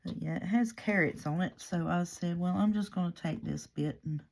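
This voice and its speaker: A hoarse woman, chatting casually and rambling softly as she works through a crafting project. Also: on the low side, moderate pace, slight background noise. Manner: soft-spoken and rambling. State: hoarse from a cold. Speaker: craft vlogger.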